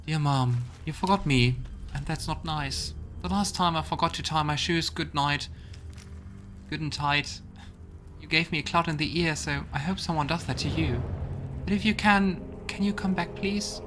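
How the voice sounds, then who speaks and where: A man voicing a frightened, lost little child who pleads naively and haltingly for a mother. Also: a little high, music in the background, pitched above the performer's own voice, close to an everyday voice. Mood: hurt, plaintive. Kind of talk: reading a found letter aloud in character in a video game let's play.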